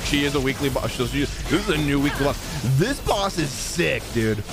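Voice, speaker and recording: A young man doing live gameplay reaction commentary as a streamer, excitable and full of slangy exclamations. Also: on the high side, normal speed, creaky. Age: young adult. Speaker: game streamer. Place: indoor streaming room. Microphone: close desk microphone. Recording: loud game battle music behind. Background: game battle music.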